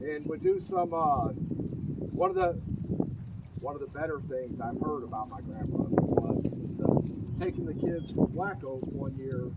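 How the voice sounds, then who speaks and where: A man's voice: medium pitch, huge pitch swings, a little slow. A man hosting a vlog outdoors, casually chatting and retelling family stories in a rambling, colloquial way. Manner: chatting while fishing. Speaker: vlog host. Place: outdoors on a windy riverbank. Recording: muffled, far from mic, heavy wind noise.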